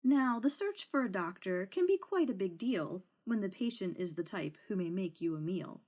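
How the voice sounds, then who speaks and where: A woman narrating a children's storybook aloud in an expressive read-aloud style. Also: mid-range voice, moderate pace. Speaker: storybook reader. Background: music.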